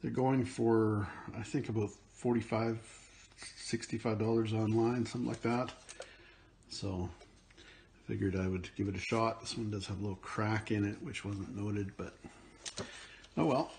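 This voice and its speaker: A man's voice: on the low side, fairly even intonation, moderate pace, gravelly, a little mellow, a little hiss or hum. A man casually showing off his auction finds, thinking aloud with fillers and musing as he goes. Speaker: picker and vlogger. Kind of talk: casual unboxing commentary. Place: indoors at a table, off camera.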